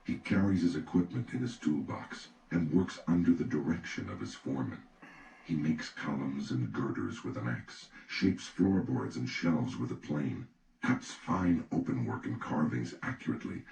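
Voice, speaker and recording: A man reading an old treatise as an audiobook narrator, in a measured, solemn, declarative manner. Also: a bass voice, expressive, creaky, a little mellow. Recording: roomy, distant mic with faint noise.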